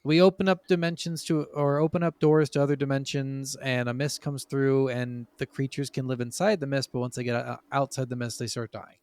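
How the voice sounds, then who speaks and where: A man's voice: medium pitch, flat delivery, a little fast, few pauses, a little mellow, no room sound. A man hosting a podcast, talking casually and emphatically from his notes, with plenty of swearing. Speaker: podcast host.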